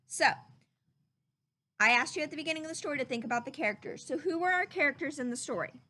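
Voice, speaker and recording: A woman reading a story aloud to children like a teacher, with expressive, dramatic emphasis. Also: high voice, quick pace.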